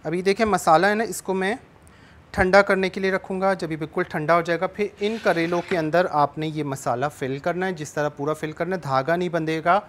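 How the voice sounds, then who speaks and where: A man's voice: sits high, a little fast, a bit bright, a little hiss or hum. A man in his thirties hosting a cooking show, patiently explaining each step as he cooks in a studio kitchen. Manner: explains steps patiently, switches between Urdu and Sindhi. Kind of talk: cooking demonstration with phone-in calls. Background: background music.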